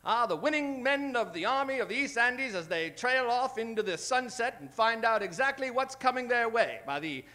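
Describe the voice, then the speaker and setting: A man playing an excitable 1940s newsreel announcer with a breezy, declamatory delivery. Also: extremely high pitch, normal speed, few pauses, heavily acted. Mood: upbeat.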